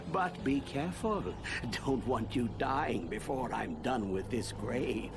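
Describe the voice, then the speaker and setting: An actor playing a cryptic, knowing old gravedigger in a video game. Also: male voice, mid-range voice, expressive, takes it slowly, long pauses, noisy background. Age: older.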